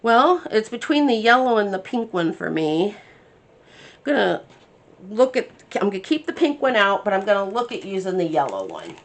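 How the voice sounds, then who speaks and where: A woman's voice: medium pitch, very animated, moderate pace. A woman hosting a craft tutorial, chatting casually and thinking aloud as she works, a bit congested from her sinuses. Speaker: crafting channel host.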